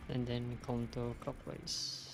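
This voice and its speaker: A man's voice: medium pitch, completely flat delivery, slow pace, music in the background. A man giving casual gameplay commentary, muttering short reactions to himself as he plays. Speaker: game streamer.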